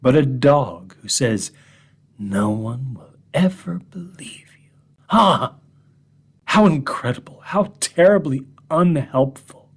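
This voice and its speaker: A husky, breathy drunk madman, read by a man, leans in close to his listeners. He drops into near-whispers, then jumps up sharply with a mocking edge. His voice sits at an ordinary middle pitch beneath all that hushed rasp. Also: takes it slowly. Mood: menacing. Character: unhinged drunk man confessing to strangers. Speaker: horror story reader. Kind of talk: first-person horror monologue reading.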